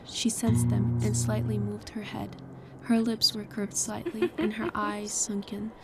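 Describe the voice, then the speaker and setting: Woman reading a horror story for an audio play, pretty even tone, music behind her. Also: mid-range voice. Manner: measured, storytelling. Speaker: narrator. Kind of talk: scripted horror story narration.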